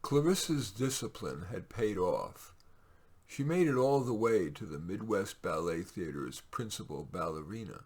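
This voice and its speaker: A man with a raspy, gravelly voice reads his own short stories aloud in a measured, expressive way, with casual asides. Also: low voice.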